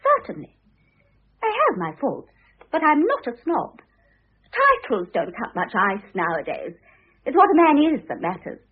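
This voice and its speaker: A spoiled young society wife in an old radio play. Her high voice leaps and swoops with breezy, selfish flippancy. The recording is narrow and muffled. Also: huge pitch swings, unhurried, pauses often, full character voice. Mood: breezy, flippant. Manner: breezy, flippant, self-pitying. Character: vain, grasping, frivolous young society wife in her twenties. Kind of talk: classic stage-style radio drama acting.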